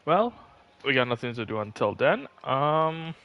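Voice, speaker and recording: A man's voice: mid-range voice, big pitch swings, measured pace, dull audio. A man giving casual, rambling livestream commentary, thinking aloud as he plays a game. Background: faint game music.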